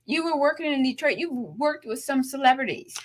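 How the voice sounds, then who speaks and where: A woman's voice: high-pitched, fairly quick, heavy background noise. A woman from Saginaw, Michigan, hosting a casual radio interview with an encouraging manner and frequent affirmations. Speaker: radio show host. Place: radio studio.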